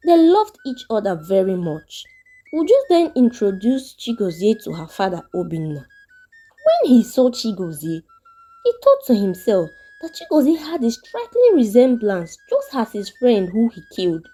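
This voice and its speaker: A woman narrates a folktale in a high voice with very animated intonation. Her tone is soft and mellow as she tells the scripted story, and music plays behind her.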